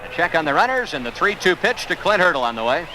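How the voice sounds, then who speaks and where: A man's voice: on the high side, big pitch swings, quick pace. An American man calling live baseball play-by-play in short, projected phrases. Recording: loud background noise, old game broadcast. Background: loud ambient noise.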